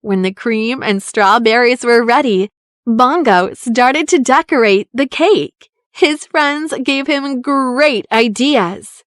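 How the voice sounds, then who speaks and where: A woman narrating a children's story aloud in an expressive, deliberate storytelling manner. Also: a little high, no room sound.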